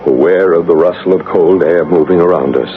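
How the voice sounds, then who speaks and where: An actor playing a calm, authoritative psychic investigator in a 1970s radio drama, with a measured, reassuring manner. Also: male voice, low voice, close to an everyday voice. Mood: grave, calm. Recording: noisy, phone-like 1970s radio recording.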